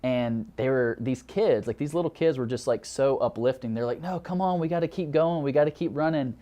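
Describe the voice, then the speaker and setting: A man's voice: mid-range voice, fairly quick, mellow. A young man from Alabama with a Southern accent, a graduate counseling student telling a story in a documentary interview, earnest and reflective, with lots of "like" and "you know". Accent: Southern American. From Alabama, United States. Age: young adult. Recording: music playing behind the voice.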